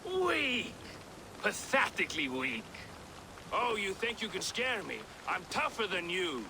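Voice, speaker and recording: Game brawler: boastful Norse bruiser, slow, wildly swinging delivery, taunting, faint rain behind. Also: male voice, high voice, very animated, background noise, exaggerated voice. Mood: offended, taunting. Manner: taunting, blustering, declamatory. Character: brash boastful Norse brawler, swaggering and thin-skinned.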